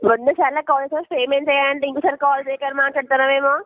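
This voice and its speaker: Fast, insistent woman on phone. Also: sits high, level tone, dry recording. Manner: confrontational, scolding, insistent. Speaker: loan recovery agent on a phone call. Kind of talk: debt collection phone call. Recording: muffled, narrow-band telephone line recording.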